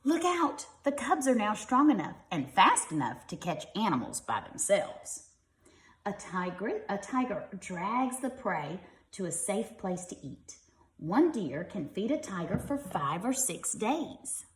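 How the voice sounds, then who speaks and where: A woman's voice: mid-range voice, big pitch swings, unhurried, reverberant. A woman reading a picture book aloud to young children like a teacher, expressively and with simple explanatory asides.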